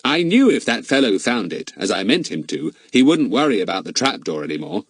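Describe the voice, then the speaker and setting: Man doing a bossy clever kid, quick orders, a bit higher than normal. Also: sing-song, slight background noise. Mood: pleased. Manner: brisk, commanding, a little raised. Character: clever, confident boy leader, brisk and bossy. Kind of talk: audiobook character voice.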